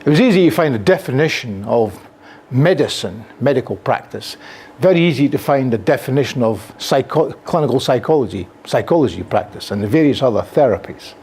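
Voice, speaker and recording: A man's voice: mid-range voice, fairly quick, husky. An older man from Scotland with a Scottish accent gives a conference lecture in a classroom with a projector, gesturing freely and using frequent fillers, recorded by a camera at the back of the room. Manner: animated, gesturing while speaking, frequent fillers.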